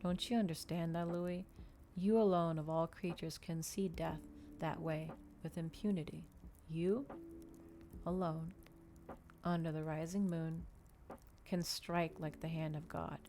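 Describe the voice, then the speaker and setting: A woman reading as a low-toned, commanding male vampire. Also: steady tone, measured pace, pauses often, mellow. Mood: coolly persuasive. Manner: lecturing, commanding. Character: predatory male vampire, cold, mocking, seductive mentor. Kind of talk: dramatic audiobook dialogue. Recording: noticeable background noise.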